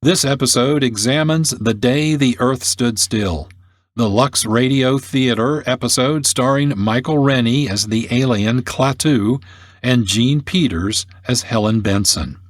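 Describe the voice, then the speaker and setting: Man, mid-range voice, measured scripted narration, radio host, dry close studio sound. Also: medium pitch, no echo.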